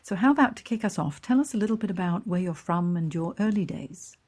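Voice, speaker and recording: A woman's voice: on the low side, expressive, fast, a little mellow. A middle-aged woman from Hong Kong hosting a careers podcast, upbeat and enthusiastic as she interviews a guest. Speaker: podcast host, career coach. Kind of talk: scripted intro then interview chat.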